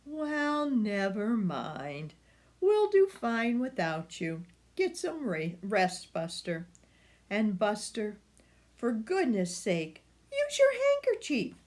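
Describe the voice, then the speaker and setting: A woman reading a storybook as a fretful, brisk, motherly old grandma who scolds and fusses. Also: fairly high pitch, huge pitch swings, a little mellow, exaggerated voice. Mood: scolding, resigned. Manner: emphatic, exclaiming, fussing.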